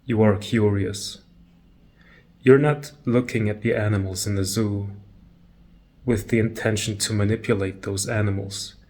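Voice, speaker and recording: A man leading a guided meditation talk, calm and measured, pausing between short phrases. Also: on the low side.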